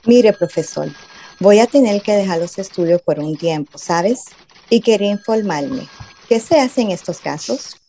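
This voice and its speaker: A woman's voice: mid-range voice, animated, unhurried, dark tone. A woman playing a polite, direct young university student in a radio soap. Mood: polite, matter-of-fact. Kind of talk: radio soap opera acting. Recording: faint room noise and typewriter behind.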